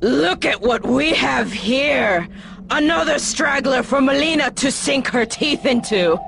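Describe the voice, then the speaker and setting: A woman voicing a fierce, bloodthirsty warrior of a savage army in a video game, husky, taunting and commanding. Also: sits high, animated, measured pace, music in the background, exaggerated voice. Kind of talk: video game cutscene acting.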